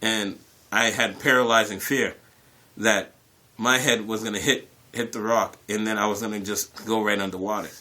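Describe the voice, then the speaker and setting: A man casually telling a personal story to viewers, animated and acting out bits of dialogue, often repeating phrases for emphasis. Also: lowish voice, moderate pace. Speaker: YouTube storyteller.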